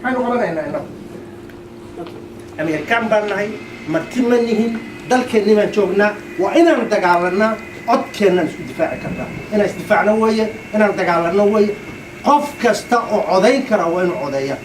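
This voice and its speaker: Fast, high-pitched man rallying a room. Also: animated. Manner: emphatic, repetitive, rhetorical questioning. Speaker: community elder speaking at a political meet-up. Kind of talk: rallying political speech. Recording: distant mic in noisy echoey room.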